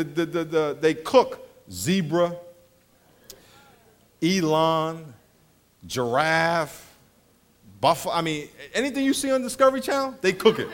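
Male pastor, high voice, animated storyteller mixing jokes and preaching. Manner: animated storyteller, acts out voices, laughs often. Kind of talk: sermon with comic stories.

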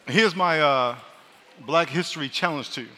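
A pastor preaching a sermon, loud and joking, calling on his congregation to answer back. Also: fairly high pitch, moderate pace. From New York. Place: large church hall. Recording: live sermon in a reverberant hall.

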